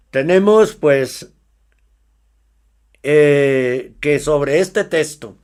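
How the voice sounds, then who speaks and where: Male radio news host, measured and deliberate, slow pace, fairly high voice. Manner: measured, with tag questions. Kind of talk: news commentary.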